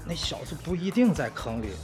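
A man playing an anxious, suspicious sidekick who talks in a hesitant, nagging, excitable way in a radio drama. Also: on the high side, brisk, soft background music. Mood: uneasy.

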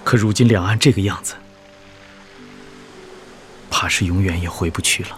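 An actor playing a lonely, homesick married man in exile in a radio drama, gentle and conflicted, with a hesitant manner. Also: low-pitched, moderate pace. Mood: melancholy, gentle. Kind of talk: emotional radio drama acting. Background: music.

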